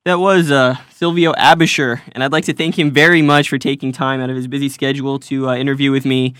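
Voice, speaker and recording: A man's voice: mid-range voice, level tone, quick pace, fairly bright tone, clean tone, dry recording, clean studio sound. A man hosting, wrapping up an interview in a chatty, enthusiastic way with many fillers. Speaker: host. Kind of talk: casual recorded wrap-up narration.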